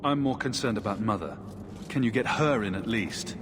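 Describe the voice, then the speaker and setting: An actor playing an earnest, determined young refugee hero in a video game, measured, polite but insistent. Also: male voice, lowish voice, background noise, natural voice. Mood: concerned. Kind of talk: dramatic video game dialogue.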